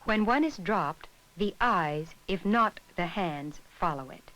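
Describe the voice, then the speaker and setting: Low-pitched woman narrating an educational film. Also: expressive, a bit bright, poor audio. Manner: measured, instructional.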